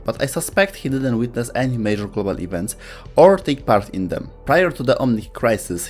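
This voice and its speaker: A man's voice: mid-range voice, a little mellow. Man narrating a scripted explainer over music. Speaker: video narrator.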